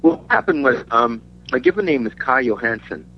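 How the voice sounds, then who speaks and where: A man from Denmark giving a casual interview as a musician, talking in a halting, rambling way. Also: medium pitch, very animated. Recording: dull lo-fi audio with faint hum.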